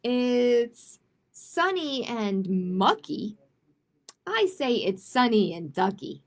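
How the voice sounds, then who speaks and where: A woman's voice: fairly high pitch, sing-song, drawn-out words. A woman hosting a children's storytime, reading picture books aloud expressively and adding simple chatty asides with little check-in questions. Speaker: library storytime host.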